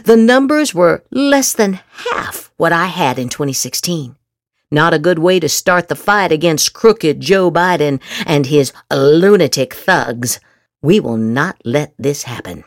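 Lady podcaster reading posts super dramatically, lowish voice going all over the place, real dry close sound. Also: expressive, unhurried, no room sound. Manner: exaggerated, emphatic inflection. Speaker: podcast host. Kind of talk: theatrical dramatic reading of social media posts.

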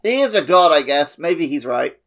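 A man's voice: on the high side, sing-song, normal speed, a bit bright. A man co-hosting a casual gaming podcast, talking in a playful way. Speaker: podcast co-host.

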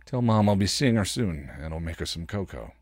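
A man's voice: low-pitched, expressive, brisk, darkish tone, no room sound, studio quality. A man voicing a sardonic, grouchy but caring male vampire in a story reading.

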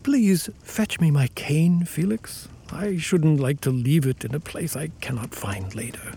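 Man acting gentle old blind father, slow and mellow. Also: a little high, gravelly, husky, full character voice. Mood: grateful, tired. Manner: kindly, unhurried, old-fashioned phrasing, chuckling warmth. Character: gentle, grateful, blind elderly cottager and father. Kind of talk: radio play acting.

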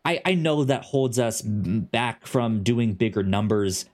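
A man with a stutter hosting a casual podcast, halting with many ums and restarts. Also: mid-range voice, animated, a little mellow. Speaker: podcast host.

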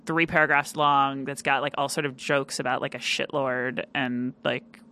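A woman chatting casually as a podcast guest, with a loud, animated delivery full of fillers. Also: extremely low pitch, moderate pace. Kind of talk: casual interview chat.

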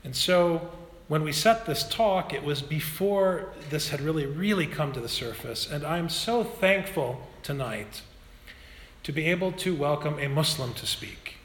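A parish priest with a slightly high voice, speaking with impassioned earnestness. The sound rings with a long echo from a large church hall, and a faint hum runs beneath it. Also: male voice, expressive, measured pace. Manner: earnest and impassioned, with sharp pace shifts. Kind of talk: talk introduction.

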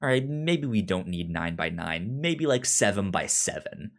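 A man's voice: sits low, sing-song, normal speed. A man co-hosting a podcast in casual pop-culture chat, rambling and repeating words and phrases as he goes. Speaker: podcast co-host.

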